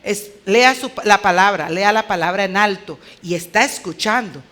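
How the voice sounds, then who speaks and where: A woman's voice: medium pitch, moderate pace, fairly bright tone. A woman with a Colombian accent preaching conversationally, with a clipped, emphatic delivery and rhetorical questions to her listeners. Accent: Colombian Spanish. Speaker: women's conference speaker.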